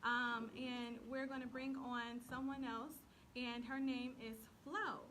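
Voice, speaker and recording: High-voiced woman hosting a casual live chat. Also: fairly even intonation, takes it slowly, fairly bright tone. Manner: giggly, bantering. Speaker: talk show host. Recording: noisy live video stream.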